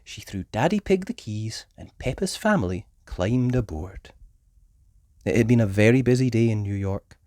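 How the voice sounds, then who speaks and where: A woman reading a children's story aloud as an expressive storyteller. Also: very deep voice, moderate pace, no room sound, clean studio sound.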